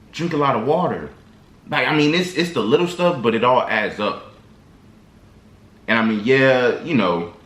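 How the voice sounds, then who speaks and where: A man's voice: lowish voice, fairly bright tone. A man vlogger giving casual, slangy, rambling motivational advice to his viewers. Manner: slangy, loose and rambling, lots of restarts. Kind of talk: casual motivational talk to camera. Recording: echoey room.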